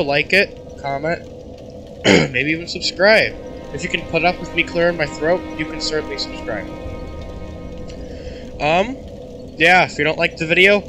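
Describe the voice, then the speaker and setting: A teenage boy with a congested voice, giving sarcastic, casual commentary while playing a video game and coughing now and then. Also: medium pitch. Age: teens. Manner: sarcastic, mock-grumpy banter. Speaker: gaming YouTuber. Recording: game music playing loudly behind.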